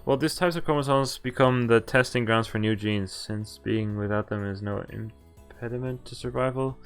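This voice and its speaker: A man's voice: mid-range voice, normal speed, clear, resonant tone. Man, game streamer, reading story dialogue aloud, informal asides, clean resonant tone, faint background music.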